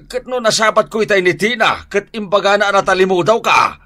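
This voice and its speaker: A man playing a gullible, excitable village husband in an Ilocano radio comedy drama. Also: sits high, a little fast. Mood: flustered.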